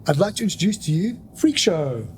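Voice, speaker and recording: A man's voice: high-pitched, very animated, brisk, a little hiss or hum. A man playing a grandiose theatre host and poet in a radio play, pompous and declamatory. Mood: announcing.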